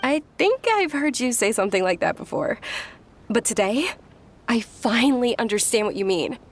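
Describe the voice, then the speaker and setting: A woman voicing a gentle, eager and hopeful young woman in a video game, earnest in manner. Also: fairly high pitch, animated, normal speed. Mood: reflective. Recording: faint ambient noise.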